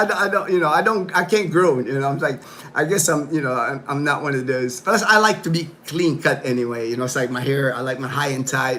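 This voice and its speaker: Rambling, slightly high-voiced man chatting. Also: a bit bright, a little hiss or hum. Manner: rambling, loose talk full of "you know", "like" and "man". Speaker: livestream host. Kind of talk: casual livestream chat. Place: his home in the Philippines.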